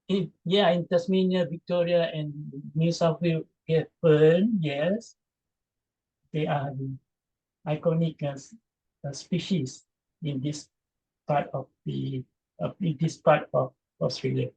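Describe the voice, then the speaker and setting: A man's voice: a little high, gravelly, darkish tone, breathy edge, low-quality recording. A man from Malaysia, presenting online and taking audience questions, reading them aloud and answering with hesitant fillers. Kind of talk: Q&A after an online talk. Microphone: video call.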